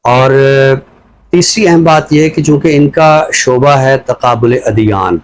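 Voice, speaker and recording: A man with a Pakistani accent and a mid-range voice talks as a scholarly commentator. He explains his points in a measured, reflective way while keeping a conversational style. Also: medium pitch, low-quality recording. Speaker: scholar commentator on a talk show.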